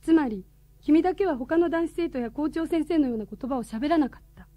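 Radio drama role, female actor: formal, polite, earnest woman, high register, soft dark tone, very dry sound. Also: brisk, no echo, lightly acted. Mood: serious inquiry. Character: serious, courteous woman questioning a schoolboy.

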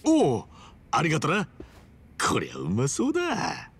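An actor voicing an earnest, friendly man of about forty with a blunt, casual, rough-edged way of speaking in a video game cutscene. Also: on the high side, wildly swooping pitch, measured pace, a bit bright, full character voice. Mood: pleased.

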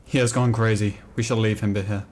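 A man's voice: lowish voice, steady tone, a little fast, a little mellow, slight background noise. A man role-playing a dwarf with his friends in a game, speaking in a polite, mock-formal way and laughing easily. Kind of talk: in-character role-play and casual commentary.

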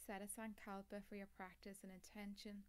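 A woman leading a guided meditation as a yoga nidra teacher, calm and soothing, with measured phrases, long pauses and a soft voice that turns breathy at phrase ends. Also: medium pitch. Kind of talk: guided meditation, scripted instruction.

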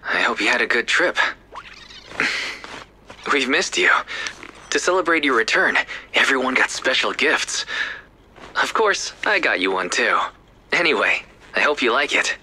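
A gentle young older brother. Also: male voice, mid-range voice, very animated, a little slow, long pauses, a little hiss or hum, exaggerated voice. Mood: caring. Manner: soft, warm-hearted messages.

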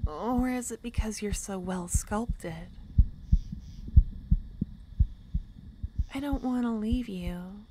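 A woman playing a shy, kind-hearted young witch in an ASMR fantasy romance roleplay, speaking softly and hesitantly, often close to a whisper. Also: mid-range voice, noisy background. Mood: tender, musing. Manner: soft, breathy, hesitant, often dropping to a whisper. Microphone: close ASMR microphone.

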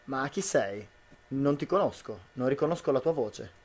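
A man's voice: mid-range voice, lively intonation, moderate pace, slightly rough. A man playing an anxious, suspicious citizen of a dystopian future in an amateur radio drama, dramatic and questioning. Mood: wary, astonished.

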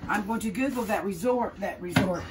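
A woman being interviewed in a casual chat, joking around and trailing off into drawn-out fillers. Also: medium pitch, very noisy.

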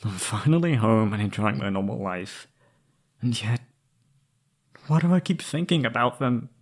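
A young man acting an anxious, wistful former captive in a scripted roleplay audio drama, speaking hesitantly and haltingly. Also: medium pitch, lively intonation, close to an everyday voice. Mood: troubled, wistful.

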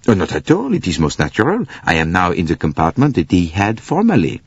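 A man's voice: sits low, wildly swooping pitch, fairly quick, slightly rough, no echo, lo-fi sound, exaggerated voice. A man voicing a fussy, precise older detective. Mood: polite, precise.

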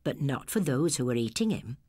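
A woman voicing a kindly old English lady shopkeeper in a storybook tale, with a soft, slow, inviting manner. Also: a bass voice, sing-song, husky, no echo, clean studio sound, pitched below the performer's own voice, full character voice.

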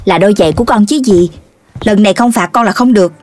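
A woman's voice: medium pitch, fast, a little mellow, faint music behind, close to an everyday voice. A woman voicing a strict, scolding mother dog in a children's cartoon dub.

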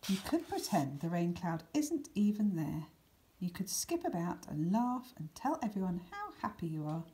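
A woman reading a children's picture book aloud, with deliberate, expressive emphasis and some hushed words. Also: lowish voice, poor audio. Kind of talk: expressive scripted storybook narration for children.

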